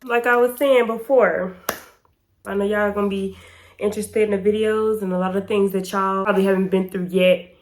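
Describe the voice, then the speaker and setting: A woman in her twenties with an American accent, chatting casually and emphatically in a storytime vlog, her voice slightly hoarse and stuffy-nosed. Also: medium pitch, steady tone, moderate pace. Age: 20s. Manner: informal, slangy, chatty and emphatic. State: congested and slightly hoarse from pollen. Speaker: YouTube storytime vlogger. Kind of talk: casual storytime chat to camera.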